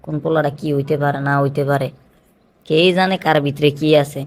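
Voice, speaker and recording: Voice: medium pitch, flat delivery, brisk, close to an everyday voice. A voice actor playing a stingy, joking male bachelor who talks in rhymes. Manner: rhyming, joking banter. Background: cartoon background music.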